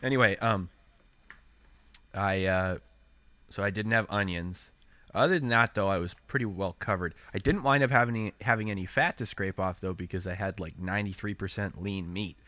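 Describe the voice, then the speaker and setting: A man's voice: low voice, normal speed, pushed and bright, dry recording. A man hosting a casual podcast chat, sounding congested, rambling and correcting himself as he talks. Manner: rambling, self-correcting, laughs often. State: congested after illness. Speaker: podcast host.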